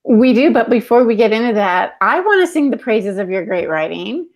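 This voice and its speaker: A woman's voice: on the high side, lively intonation, normal speed. A middle-aged woman chatting casually and emphatically as a panelist on a video call. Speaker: panelist reading chat questions. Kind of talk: casual chat. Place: indoor home room, on a video call. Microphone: earbud headset.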